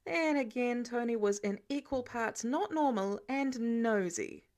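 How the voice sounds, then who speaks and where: A woman's voice: fairly high pitch, expressive, measured pace, darkish tone. A woman reading scripted fiction narration as an audiobook narrator. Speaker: podfic narrator.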